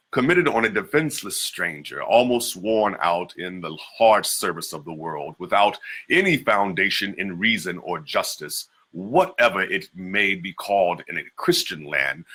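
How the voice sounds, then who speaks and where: A man giving a measured, deliberate dramatic reading of an old first-person memoir. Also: deep voice, pushed and bright, husky. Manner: measured, deliberate delivery with slowed emphases. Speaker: reader.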